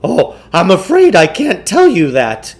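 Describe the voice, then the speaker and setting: A man reading a children's novel, voicing a pompous, theatrical, eccentric salesman who lectures with deliberate self-importance. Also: high voice, wildly swooping pitch, darkish tone, slight background noise, heavily acted. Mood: grandly secretive. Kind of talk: children's novel read aloud in character.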